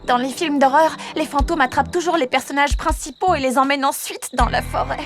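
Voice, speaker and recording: A woman's voice: high voice, brisk, faint music behind. A nervous cartoon girl. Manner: anxious, cautious. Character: nervous young woman, horror-film fan. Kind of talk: French cartoon dub.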